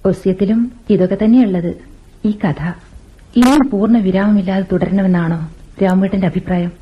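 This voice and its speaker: A woman playing a sorrowful, bitter housemaid in a Malayalam radio drama, speaking in a deliberate, literary and emotive way. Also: mid-range voice, fairly even intonation, breathy edge, lo-fi sound, natural voice. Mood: somber. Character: sorrowful, bitter housemaid confiding her ruined life.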